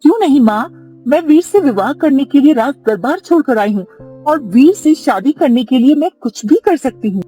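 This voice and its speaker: Woman voicing young cartoon princess, high and soft-toned, resolute, dramatic, music behind. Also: expressive, moderate pace. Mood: devoted. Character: determined, devoted young princess. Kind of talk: cartoon dubbing.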